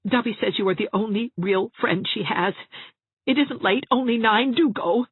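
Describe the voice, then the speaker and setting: A woman's voice: fairly high pitch, normal speed, slightly rough, dry recording, pitched above the performer's own voice. A woman voicing a desperate young man in love in an audiobook, pleading and coaxing earnestly. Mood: urgent, pleading. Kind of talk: audiobook character voice. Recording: thin phone-like narrow-band sound.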